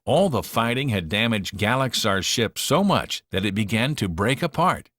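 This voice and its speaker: A man narrating a children's storybook in an expressive, dramatic storytelling manner. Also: on the low side, normal speed, no room sound, studio quality.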